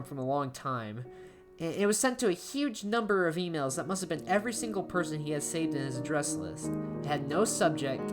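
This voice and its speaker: Anxious, paranoid shut-in narrating a horror journal, played by a woman; deep register, very expressive, halting delivery, music underneath. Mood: shocked, unsettled. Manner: halting, emotive, with stammered repeats. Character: anxious isolated diarist unravelling into paranoia. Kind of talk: dramatic first-person horror story narration.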